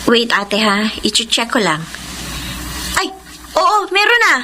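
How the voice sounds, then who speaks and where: A Filipino woman acting in a radio drama as an excitable, teasing younger sister. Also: on the high side, slow, heavily acted. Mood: surprised, excited. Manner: bubbly, gushing. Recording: faint background noise.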